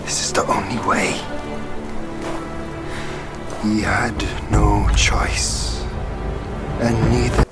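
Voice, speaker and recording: A man playing a weary, brooding warrior in a video game cutscene, speaking gravely and deliberately. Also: fairly low pitch, fairly even intonation. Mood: somber, resigned. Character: weary, brooding male warrior resigned to a fated fight. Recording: loud music behind the voice. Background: loud cinematic music.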